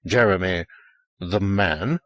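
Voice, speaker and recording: A man's voice: fairly low pitch, big pitch swings, a little slow, no room sound. A stuffy, self-satisfied man, played by a male narrator, brusque and condescending as he insists on being reasonable. His intonation moves a lot, in a dry, close audiobook sound. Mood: flat, disbelieving.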